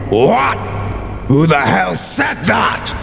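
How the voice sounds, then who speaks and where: A man playing a pompous, theatrical evil supervillain with a gravelly voice in a superhero parody audio drama. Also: on the high side, wildly swooping pitch, over music, exaggerated voice. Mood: alarmed outrage. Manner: grandiose, drawn-out villain declarations. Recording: echoey hall reverb.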